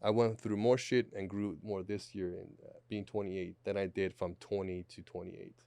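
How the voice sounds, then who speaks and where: A man's voice: deep voice, little pitch movement, normal speed, vocal fry, dark tone, no room sound. Late-20s male guest, thoughtful, restarts phrases; close dry studio mic. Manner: reflective, repeats words as he thinks. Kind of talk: podcast conversation. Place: small studio. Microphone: studio mic on boom arm, headphones.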